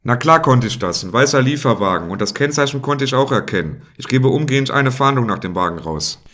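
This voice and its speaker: A man's voice: medium pitch, expressive, brisk, fairly bright tone. A man playing a brusque little police officer in a parody audio drama. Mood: brisk. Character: brusque, small-statured male police officer.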